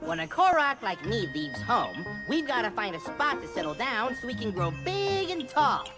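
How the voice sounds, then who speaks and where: A woman's voice: on the high side, very animated, moderate pace. A woman voicing a small, cocky, chatty forest spirit sidekick in a video game, with slangy, wheedling talk and stammers. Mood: cocky, boastful. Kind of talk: animated video game cutscene acting. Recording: music loud behind the voice. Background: game soundtrack music.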